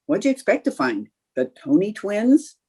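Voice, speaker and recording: A woman's voice: medium pitch, very animated, moderate pace, darkish tone, husky. A woman playing a scatterbrained young housewife, sly and theatrically innocent, in old-time radio comedy style. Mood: feigned innocence.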